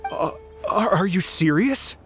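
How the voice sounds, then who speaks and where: Game casting: earnest young musician, low register, halting and courteous, faint music bed. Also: female voice, expressive. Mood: hesitant, thoughtful. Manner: halting, polite, thoughtful. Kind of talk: video game voice acting.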